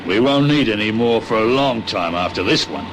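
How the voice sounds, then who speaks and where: An actor playing a calm, steady getaway driver in a radio crime drama, laconic and matter-of-fact, with an Australian accent. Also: male voice, lowish voice, fairly quick, heavy background noise, lightly acted.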